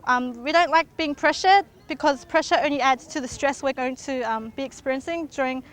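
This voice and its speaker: Young aussie woman, really high voice, explaining earnestly and articulately. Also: a little fast. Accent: Australian. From Australia. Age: teens to young adult. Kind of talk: earnest interview answer.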